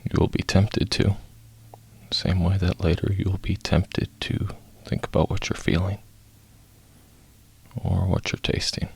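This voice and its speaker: A man leading a guided meditation, soft-spoken and sometimes breathy, in short phrases with long pauses. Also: deep voice, little pitch movement.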